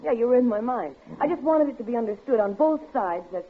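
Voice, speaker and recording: A brassy young vaudeville dancer who chatters in slang and trips over her words, her voice husky and swinging up and down. It sounds like a tinny old radio broadcast. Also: on the high side, animated, fairly quick. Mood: chatty. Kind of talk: 1950s radio drama acting.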